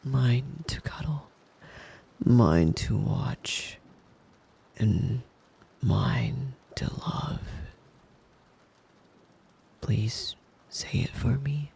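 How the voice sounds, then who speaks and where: Man voicing a possessive young wolf-boy lover, ASMR roleplay, soft mellow tone, gravelly fry, breathy whispering, doting, soothing. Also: mid-range voice, animated, heavily acted. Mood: intense, possessive. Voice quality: breathy, husky, often dropping to a whisper. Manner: tender, doting, slow and lingering with pet names. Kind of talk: intimate ASMR roleplay, soothing bedtime murmur.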